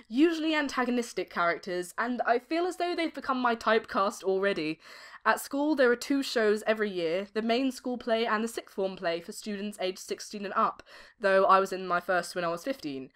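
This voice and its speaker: Young woman, medium pitch, articulate, expressive, interview answers. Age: young adult. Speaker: amateur voice actress being interviewed.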